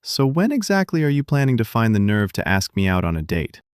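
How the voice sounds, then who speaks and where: A man's voice: lowish voice, very animated, a little fast, clean tone, dry recording, studio quality. A man reading as a sweetly forward woman. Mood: sweetly teasing. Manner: sweet, teasing. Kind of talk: audiobook character voice.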